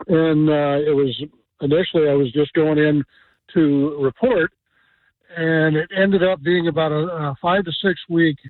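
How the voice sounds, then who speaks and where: A man calling in to a radio show, telling a story in a halting, rambling way with many fillers. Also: fairly high pitch, dry recording. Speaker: caller. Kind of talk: phone-in chat. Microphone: telephone. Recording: dry tinny phone line.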